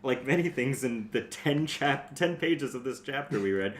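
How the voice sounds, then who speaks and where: Guy riffing on a book w friends, really animated, sweary, echoey room w a bit of noise. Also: mid-range voice, moderate pace. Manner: animated, acts out joke voices, profane. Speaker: podcast panelist. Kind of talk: casual comedic book discussion.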